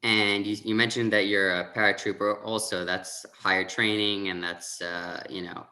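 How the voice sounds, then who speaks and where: A man's voice: lowish voice, little pitch movement, normal speed, pushed and bright, low-quality recording. A man asking questions in a casual interview as the interviewer.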